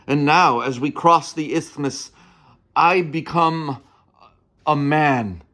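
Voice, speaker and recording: A man playing an earnest young nineteenth-century touring actor, telling his life in a measured, theatrical dramatic monologue. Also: medium pitch, fairly bright tone. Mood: solemn, wistful. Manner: theatrical, measured, with weighty pauses. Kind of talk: dramatic first-person monologue.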